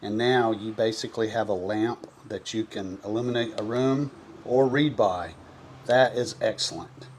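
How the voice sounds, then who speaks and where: A man's voice: on the low side, moderate pace, a little hiss or hum. A man giving a casual, folksy and enthusiastic product review to camera.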